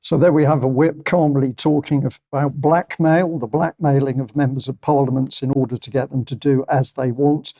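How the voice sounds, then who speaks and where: A man's voice: medium pitch, fairly even intonation, moderate pace, few pauses, mellow. A man presenting and commenting on political news in a loosely unscripted way.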